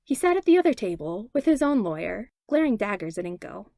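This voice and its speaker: A woman narrating a fanfiction audiobook in an expressive read-aloud manner on a close mic. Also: medium pitch, a little fast, gravelly, dry recording. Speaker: fanfiction podfic reader. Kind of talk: storybook narration.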